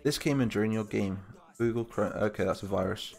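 A man's voice: fairly low pitch, a little fast, creaky, darkish tone. A man with a Lincolnshire English accent, casually chatting and reading out viewer comments during a live stream while building a game map. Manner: chatty, rambling, reads chat comments aloud.

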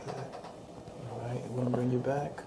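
A man's voice: mid-range voice, flat delivery, moderate pace, noisy background. A middle-aged man working as a chiropractor, casually talking a patient through an assessment and checking in often. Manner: checks in often with short questions. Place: small treatment room, indoors.

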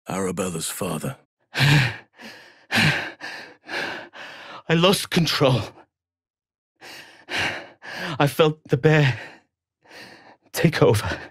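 A man playing a wise old elf druid in a video game, protective and solemn, speaking in measured, earnest, formal phrases. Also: mid-range voice, dry recording, studio quality. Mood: observant, then pained confession. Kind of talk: video game character dialogue.

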